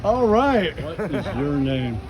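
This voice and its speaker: A man's voice: a little high, big pitch swings, slow, a crowd in the background. A man doing a casual street interview as the interviewer, asking blunt questions one after another. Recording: noisy outdoor urban street with traffic.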